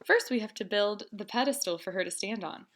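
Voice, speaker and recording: A woman voicing a kind, upbeat immigrant father in a read-aloud storybook, reading gently and reassuringly. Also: medium pitch, fairly quick, a little hiss or hum, close to an everyday voice. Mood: gentle.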